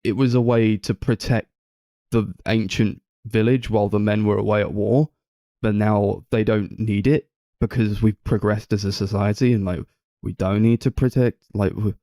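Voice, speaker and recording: A man's voice: fairly low pitch, steady tone, normal speed, mellow, dry recording, clean studio sound. A young man in casual film discussion, talking in a hesitant, hedging way as a podcast co-host.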